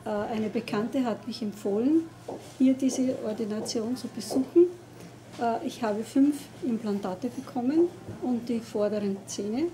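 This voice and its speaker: Older woman giving a patient testimonial. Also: fairly high pitch. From Austria. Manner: plain, hesitant with fillers. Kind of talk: interview testimonial. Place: office with computer and plants, indoors. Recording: room ambience noise.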